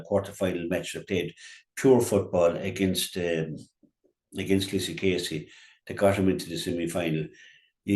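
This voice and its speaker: Deep-voiced, halting Irishman from County Clare. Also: darkish tone, reverberant. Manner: halting, repeats words and restarts often. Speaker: local radio sports pundit. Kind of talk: unscripted GAA sports commentary on local radio.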